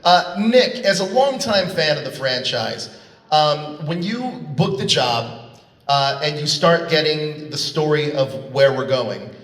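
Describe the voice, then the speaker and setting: A man's voice: a little high, expressive, unhurried, fairly bright tone. A man casually interviewing guests as moderator of a convention panel. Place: large convention hall panel. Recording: echoey hall PA, distant from mic.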